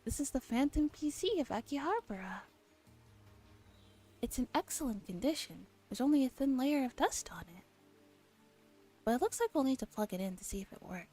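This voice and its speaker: Mellow, soft-voiced young woman streaming. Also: sits high, big pitch swings, normal speed, long pauses. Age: young adult. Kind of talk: soft ASMR visual novel read-along and casual chat. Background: game music.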